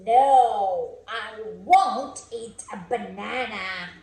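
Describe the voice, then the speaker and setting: A woman voicing a grumpy, stubborn, hungry gorilla in a storybook, with emphatic, drawn-out refusals. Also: on the high side, a little mellow, reverberant, pitched below the performer's own voice.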